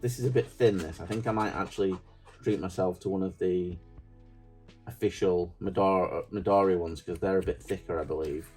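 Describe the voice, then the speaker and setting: A middle-aged man with a Yorkshire accent talks through his notebooks in a casual, rambling way and pokes fun at himself. His voice sits low and sounds warm and relaxed. Faint music plays softly behind him. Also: normal speed. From Yorkshire, England. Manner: chatty, rambling, self-deprecating. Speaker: stationery vlogger. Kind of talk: casual show-and-tell.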